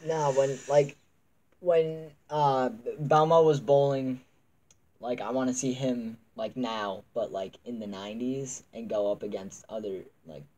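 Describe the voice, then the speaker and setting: A man's voice: mid-range voice, steady tone, measured pace, a little mellow, poor audio. A young man in casual chat, teasing and sarcastic, recorded by a webcam in a small room. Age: young adult. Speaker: co-host. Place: small dim room indoors.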